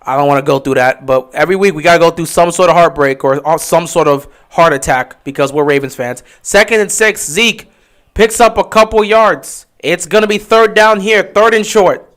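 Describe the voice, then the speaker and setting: A man giving casual live play-by-play commentary on a stream, getting excited and loud on big plays. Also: on the high side, moderate pace. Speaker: livestream game commentator.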